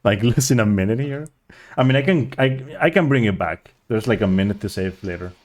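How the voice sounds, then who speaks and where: Low-voiced rambling male streamer. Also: lively intonation, a little fast. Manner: rambling, searching for words. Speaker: speedrunner streaming a game. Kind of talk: casual chat while playing.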